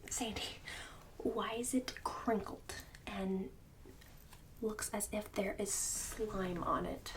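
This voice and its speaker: A woman's voice: mid-range voice, far from the mic, slight background noise, natural voice. Whispering, snobbish book-club mom played by a woman. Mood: scolding, snobbish. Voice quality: breathy whisper and soft speech. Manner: passive-aggressive, bossy, fussy, addressing people one by one. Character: snobbish, condescending middle-class mom running a book club. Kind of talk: ASMR roleplay, mostly whispered.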